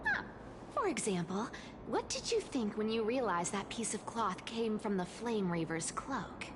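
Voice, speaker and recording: An actress voicing a playful, mischievous female trickster in a game, talking in a teasing way with sudden jumps in pitch. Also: big pitch swings, a little slow, noisy background. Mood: sly.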